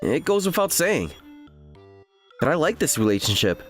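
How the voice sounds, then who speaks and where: The earnest, teasing young boyfriend of a romcom manga dub, played by a man, his animated pitch leaping about over light background music. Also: moderate pace. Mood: reflective. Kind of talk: dramatized romantic comedy dialogue and inner narration.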